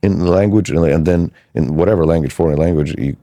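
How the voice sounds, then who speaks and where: Deep mellow guy w/ hosting a podcast, rambles, dry close mic. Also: a little fast, dry recording. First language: Serbian. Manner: rambling, many restarts. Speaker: podcast host. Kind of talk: casual interview chat.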